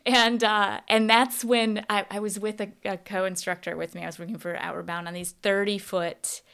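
A woman's voice: mid-range voice, level tone, normal speed, vocal fry, a bit bright, clean tone. A woman hosting a podcast, talking in a casual, engaged, conversational storytelling way. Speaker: podcast host, interviewer.

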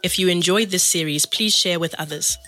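Bright woman announcer over music. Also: lowish voice, a little fast. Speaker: series announcer. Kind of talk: scripted podcast outro. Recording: music behind the voice.

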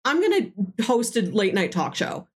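A woman's voice: on the high side, big pitch swings, normal speed. A woman hosting a podcast, chatting casually and effusively recommending films she loves. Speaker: podcast host. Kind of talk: casual film discussion chat.

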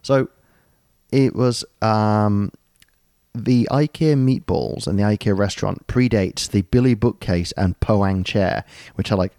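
A man with a very low voice rambles through a casual story, filling pauses with ums and tossing in jokey asides. The sound is dry and close, with no room around it. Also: warm tone, no echo. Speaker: podcast co-host. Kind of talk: casual chat.

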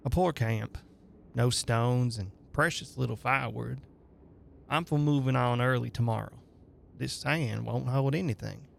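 A man's voice: medium pitch, a little slow, gravelly, a little hiss or hum. A man reading the part of a practical, plain-spoken male travelling companion in a dramatic story. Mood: matter-of-fact.

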